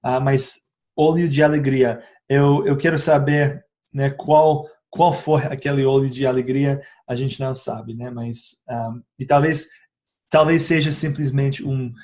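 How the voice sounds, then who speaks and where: A man's voice: mid-range voice, fairly even intonation, measured pace, darkish tone. A man who is not a native speaker of the language gives a casual explanatory talk about essential oils, hesitating often and correcting himself. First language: not Portuguese. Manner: hesitant, frequent fillers and self-corrections.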